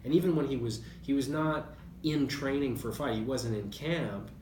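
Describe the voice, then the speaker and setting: A man's voice: medium pitch, moderate pace, a little mellow. Man in a roomy, noisy interview recording. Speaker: interview guest, boxing writer. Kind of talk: conversational sports interview, reflective storytelling. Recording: roomy, distant mic, noticeable background noise.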